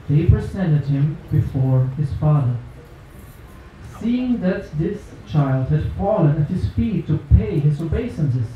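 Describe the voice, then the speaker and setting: A man narrating a scripted dramatic reading as a storyteller. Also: on the high side. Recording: dull echoey hall, distant, slight noise.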